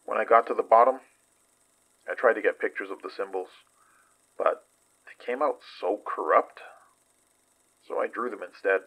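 An actor playing a weary investigator in a horror audio drama, husky and halting as he recounts danger. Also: on the low side, a bit bright, poor audio. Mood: weary, guarded.

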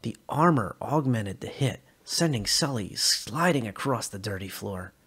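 A man reading a sci-fi short story aloud in an expressive dramatic style. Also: lowish voice, a little slow, slightly rough. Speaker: narrator.

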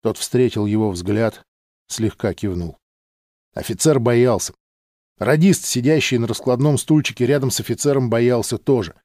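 Male narrator for literary audiobook, mid-pitched voice, dry studio-tight sound. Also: moderate pace, darkish tone, dry recording. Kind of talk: scripted dramatic literary narration.

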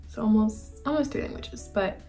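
A woman's voice: medium pitch, normal speed, warm tone. A 21-year-old woman vlogger talking in a casual, slangy, confiding way, as in a chatty sit-down monologue. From several countries, including Argentina and Bosnia. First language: bilingual English and Spanish. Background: background music.

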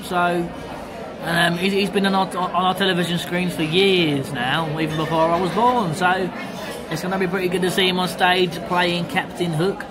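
A man's voice: sits high, steady tone, normal speed. A young man chatting casually and jokily to his vlog, often repeating phrases. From England. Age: young adult. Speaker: vlogger. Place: on the go in car, large busy pub, theatre. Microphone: handheld phone at arm's length. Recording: loud music and bustling crowd behind.

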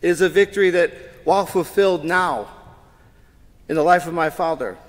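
A middle-aged man, a priest, delivering a funeral homily from the pulpit in a measured, solemn way, rising in emphasis at key points. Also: a little high. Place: large church, indoors. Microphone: pulpit microphone. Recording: long church reverb.

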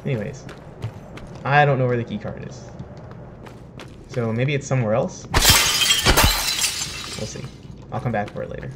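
A young man with a slightly hoarse voice, chatting casually and jokingly while he plays and reads game text aloud. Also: medium pitch, moderate pace, long pauses, very noisy. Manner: chatty, joking, laughs at himself. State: slightly hoarse, says he is sick and losing his voice. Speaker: streamer playing a video game. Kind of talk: casual let's-play commentary, reading in-game text aloud. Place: home room, webcam in corner.

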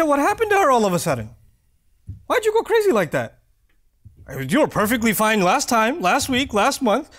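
A man in his thirties giving an expressive Friday sermon in a small prayer hall, reciting verses and then explaining them. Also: very high-pitched. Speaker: preacher. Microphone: lapel mic.

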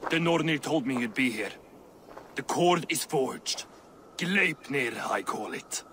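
A gruff, proud dwarf smith. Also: male voice, mid-range voice, animated, takes it slowly, a bit bright, a little hiss or hum. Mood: proud, wry. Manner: blunt, wry. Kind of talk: cinematic video game acting.

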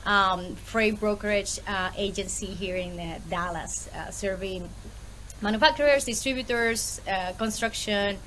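Lively Puerto Rican woman on a video call. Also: mid-range voice, a little slow, slight background noise, poor audio. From Puerto Rico. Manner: lively, laughs often, many fillers. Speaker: guest, small business owner. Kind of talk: casual interview on a video call. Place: home room with bookshelves.

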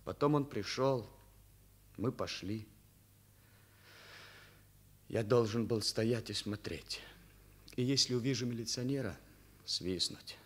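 Anguished, halting young schoolboy. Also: male voice, medium pitch, slightly rough. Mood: desperate. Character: distraught, ashamed schoolboy confessing to theft accomplice role. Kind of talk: Soviet radio drama acting.

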